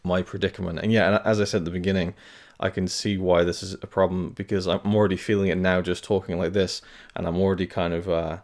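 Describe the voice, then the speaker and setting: A man's voice: low-pitched, level tone, quick pace, darkish tone. A man hosting his own channel, giving a casual update to camera in a hesitant, rambling way, talking carefully around tooth pain. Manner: rambling, hesitant, many fillers and restarts. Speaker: film review channel host.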